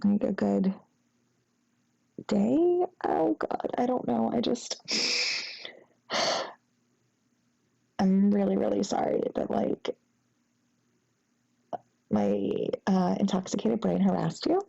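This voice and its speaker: A sheepish young woman , played by an actress, rambles apologetically to an old flame in an intimate audio roleplay. Her voice is soft, dark and relaxed, with very heavy creak, and the recording is slightly dull. She is halting and flustered, full of embarrassment. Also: medium pitch, exaggerated voice. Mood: flustered, apologetic. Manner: halting, rambling, embarrassed, breaking into nervous giggles. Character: sheepish, flustered, apologetic young ex-girlfriend after a drunken night. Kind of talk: intimate acted phone-call roleplay.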